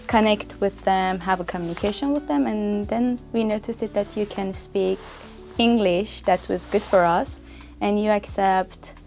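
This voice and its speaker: This young woman from Iran speaks English, unhurried and searching, sometimes repeating a word before she finds the next. Her pitch sits in the middle range, and she talks like a language student sharing her experience in an interview. Faint music plays beneath her voice. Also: creaky, a little mellow. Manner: slow, searching, non-native phrasing with repeats. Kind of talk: interview answers.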